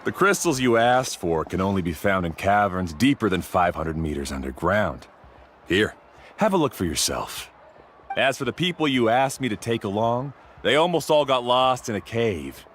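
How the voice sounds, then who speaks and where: Blunt, expressive adventurer guide. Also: male voice, on the low side, moderate pace, slight background noise. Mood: curt, proud. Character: proud, blunt expert male adventurer guide. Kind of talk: scripted anime game voice acting.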